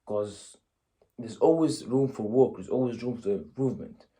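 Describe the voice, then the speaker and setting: A man's voice: medium pitch, normal speed, dark tone. A young man from Egypt giving a blunt, casual motivational talk, peppering it with "you know" and "yeah?". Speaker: motivational vlogger.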